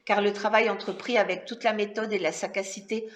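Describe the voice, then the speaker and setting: A woman's voice: mid-range voice, fairly even intonation, brisk. A woman author presenting her book at a talk, partly reading aloud and adding spoken asides. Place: indoor talk venue. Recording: echoey room.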